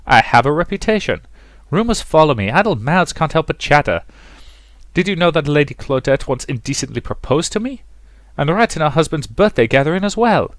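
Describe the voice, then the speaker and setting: A man's voice: a little high, big pitch swings, a little fast, dry recording, pitched above the performer's own voice. Man acting an exasperated, suave aristocratic fiancé. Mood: defensive.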